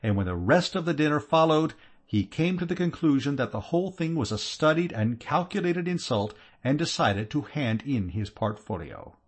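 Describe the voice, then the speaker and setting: A man's voice: on the high side, big pitch swings, moderate pace, pitched above the performer's own voice. A man doing a fierce, scolding aunt. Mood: indignant. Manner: scathing, exasperated scolding. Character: irate middle-aged aristocratic aunt, sharp-tongued. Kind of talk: audiobook character voice.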